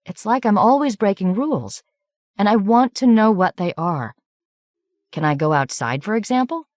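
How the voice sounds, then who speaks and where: A woman's voice: mid-range voice, expressive, moderate pace, a little mellow, no room sound, studio quality, pitched above the performer's own voice, close to an everyday voice. A woman voicing a blunt, impatient young woman in an audiobook. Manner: direct, pressing questions.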